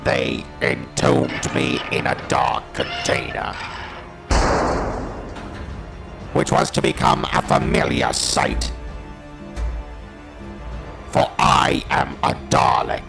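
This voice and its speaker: Hateful alien war machine, audio drama: extremely gravelly, slow and brooding, with big menacing swings. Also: male voice, mid-range voice, huge pitch swings, over music, exaggerated voice. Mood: ominous. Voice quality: gravelly, harsh, mechanical monster voice. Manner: slow, deliberate, ominous monologue.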